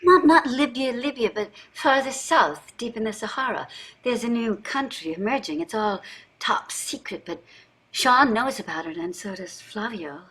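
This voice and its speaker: Woman playing a bright-voiced, exasperated wealthy wife. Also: a little high, lively intonation, normal speed, rough recording. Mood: defensive. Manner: earnest, emphatic, exasperated. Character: wealthy, anxious, guilt-ridden wife, indignant and combative. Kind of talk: staged play reading, marital argument.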